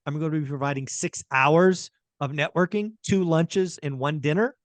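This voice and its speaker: Upbeat podcast host, dry close sound. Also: male voice, fairly high pitch, moderate pace, no room sound. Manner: upbeat, promotional. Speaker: podcast host, event organizer. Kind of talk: hosting a podcast.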